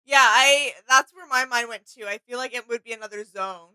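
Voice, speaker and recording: Bright, clear-voiced woman in casual reaction chat. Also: on the high side, fairly quick, no room sound, poor audio. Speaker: reaction video co-host.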